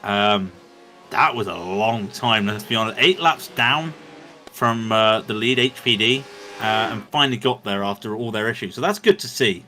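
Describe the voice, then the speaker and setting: Bright-voiced man calling a sim race. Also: lowish voice, moderate pace, a little hiss or hum. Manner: chatty, joking asides between race updates. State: dry throat after hours of commentating. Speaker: sim racing commentator. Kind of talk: live race commentary.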